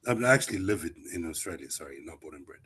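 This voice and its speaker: A man speaking on a live stream, calm and unhurried with a wry edge. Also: a bass voice, breathy edge. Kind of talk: casual live-stream talk.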